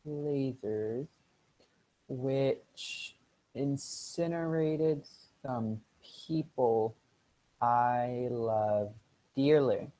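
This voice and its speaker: A woman playing a stilted eyewitness in a melodramatic radio play, with halting, drawn-out delivery. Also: rumbling low, mellow, exaggerated voice, poor audio.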